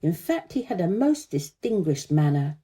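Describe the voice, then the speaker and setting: A woman's voice: fairly low pitch, big pitch swings, moderate pace, mellow. A woman narrating a children's story expressively as a storyteller.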